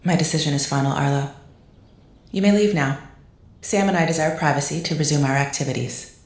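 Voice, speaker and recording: A woman's voice: deep voice, brisk. A woman in an audio drama playing a cold, formal non-human woman who speaks stiffly and without contractions. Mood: cool, resolute. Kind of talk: scripted audio drama acting. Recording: roomy, echoing sound.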